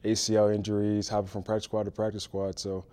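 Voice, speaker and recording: A man's voice: on the low side, completely flat delivery, fast talker, warm tone. A young man raised in the Netherlands, a Dutch native speaker, answering interview questions politely. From Belgium and the Netherlands. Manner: polite, says "yes, sir". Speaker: football player guest.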